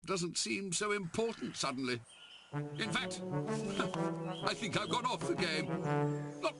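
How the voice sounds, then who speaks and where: A man's voice: high voice, expressive, takes it slowly, full character voice. A man voicing a blustering, weary British king and worried father in a cartoon game, hesitant and stammering. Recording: loud music over the dialogue.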